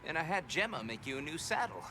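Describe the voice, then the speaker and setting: An actor playing a kind, grateful villager in a video game, speaking sincerely and graciously. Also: male voice, a little high, big pitch swings, fast. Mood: warm, sincere. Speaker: game character. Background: game music.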